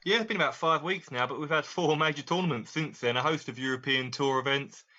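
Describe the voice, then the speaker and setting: Brisk bright-voiced man, dull sound. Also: on the high side. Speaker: darts commentator and co-host. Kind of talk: casual chat.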